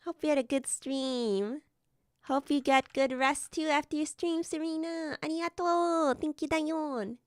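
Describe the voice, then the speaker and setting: A woman streamer chatting casually with viewers while building models, slightly hoarse from being sick and laughing often. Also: sits high, steady tone, unhurried, dry recording, studio quality. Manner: giggly, chatty, greets viewers.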